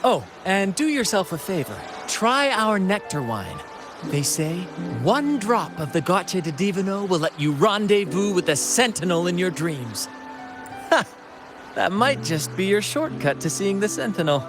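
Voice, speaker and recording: Lively, flamboyant showman. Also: high-pitched, measured pace, music in the background. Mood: cheerful, breezy. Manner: theatrical, grandiose. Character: flamboyant, cheerful showman leading a sailing troupe. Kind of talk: video game character acting.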